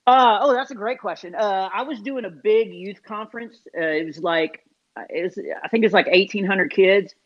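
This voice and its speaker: A man, a comedian guest in an interview, telling self-deprecating stories in an animated, casual way with many fillers. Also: high voice, normal speed. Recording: dull lo-fi sound.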